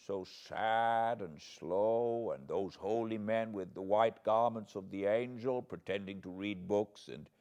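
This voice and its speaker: Slow, deep old professor. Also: male voice, a little mellow, clear, resonant tone, lo-fi sound. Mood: grim irony. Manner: halting, dramatic foreign phrasing with inverted grammar. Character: old Dutch professor, grieving, philosophical, wry, fatherly. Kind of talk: audiobook character reading.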